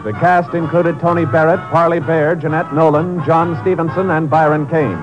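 Formal male radio announcer, slightly high voice. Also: flat delivery, moderate pace, over music. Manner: formal, emphatic. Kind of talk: 1950s radio announcing, scripted narration. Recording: muffled vintage radio recording with noise.

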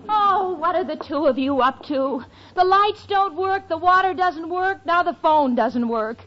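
A woman's voice: extremely high pitch, lively intonation, unhurried. An actress playing an exasperated, sarcastic young woman in a 1940s radio comedy. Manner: dry, complaining, dramatic. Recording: dull old radio recording with studio audience.

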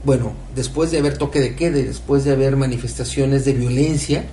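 Radio co-host, male, mid pitch, rambling questions, roomy sound a bit off mic. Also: steady tone, a little fast, dark tone, distant mic. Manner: long winding questions with many fillers. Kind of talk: radio interview. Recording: echoey room, off mic, faint noise.

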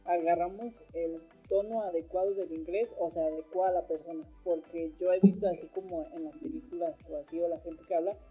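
A curious man talks on a relaxed chat show, his voice dark and mellow with a husky edge. He asks questions and often restarts his sentences. Faint music sits in the background. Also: fairly high pitch, a little fast, hardly any pauses, rough recording. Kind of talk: casual talk-show chat.

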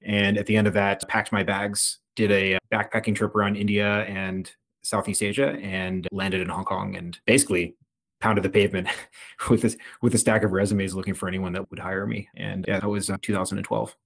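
A man in his thirties from the Philadelphia area, a fund manager talking as a podcast guest in a relaxed, conversational way that often restarts phrases. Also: on the low side, fairly quick, gravelly. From United States (Philadelphia area). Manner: conversational, frequent restarts and repeated words. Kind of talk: podcast interview.

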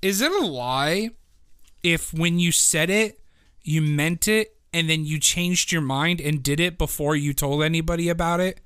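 A man co-hosting a casual podcast, loud and playful, often breaking into laughter. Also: fairly high pitch, unhurried, clean tone, dry recording. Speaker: podcast co-host. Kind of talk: casual chat.

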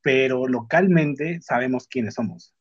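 Mexican man, husky mid-pitched voice, blunt casual talker who restarts sentences; muffled, roomy sound. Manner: frank, slangy, halting and self-interrupting. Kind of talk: casual chat. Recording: muffled lo-fi audio in echoey room.

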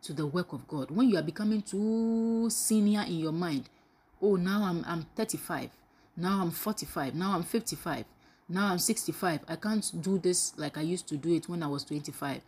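A woman preaches a live devotional. Her voice sits somewhat low with a husky edge. She keeps asking her listeners questions and throws in praise interjections between points. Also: moderate pace, darkish tone. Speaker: preacher leading a daily devotional.

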